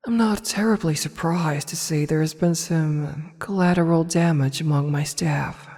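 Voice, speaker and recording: A woman playing a seductive, commanding evil empress in an intimate ASMR roleplay, breathy and often near a whisper, teasing and imperious. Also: low voice, a little mellow. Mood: sultry, teasing. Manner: slow, teasing, imperious, deliberate. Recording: long reverberant hall echo.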